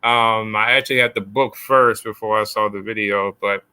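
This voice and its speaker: An upbeat man hosting a casual interview podcast, laughing easily and speaking in loose, chatty phrases. His voice is fairly low but bright and forceful. The sound is dry and close, with no room around it. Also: fairly even intonation, normal speed, smooth, no room sound. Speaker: podcast host.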